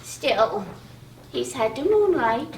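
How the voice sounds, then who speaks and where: An actress playing a chatty, fussy, doting aunt goddess in a live stage comedy. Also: female voice, high-pitched, very animated, slow pace, exaggerated voice. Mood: brisk, nagging. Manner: fussy, chirpy, matter-of-fact. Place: live in a playhouse, far from the mic. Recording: distant, echoey stage with clear noise.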